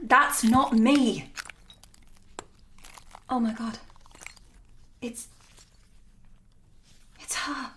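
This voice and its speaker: Highish voice, protective wary sister, slow, hesitant, tense, audio drama. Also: female voice, steady tone, fairly bright tone, a little hiss or hum. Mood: unsettled. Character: wary, distressed woman, protective and suspicious sister.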